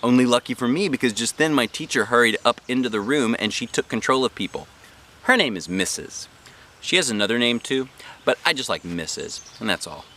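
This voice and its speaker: A man hosting a read-aloud, narrating a children's storybook in an animated style. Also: medium pitch, brisk.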